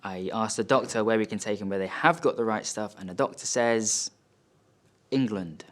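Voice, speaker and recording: Low-voiced wounded son, acted by a man. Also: moderate pace, close to an everyday voice. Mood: tense, subdued. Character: wealthy, wounded, bitter son recounting family conflict. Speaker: actor performing a monologue. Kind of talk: dramatic stage monologue, first-person storytelling. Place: studio.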